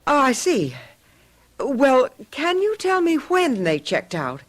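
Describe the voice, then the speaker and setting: A high-pitched, very animated housewife in a radio play. She is fretful and hesitant, worrying aloud and pressing her point. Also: slow pace, exaggerated voice. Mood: worried. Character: anxious housewife troubled by a feeling about missing friends. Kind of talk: radio drama acting.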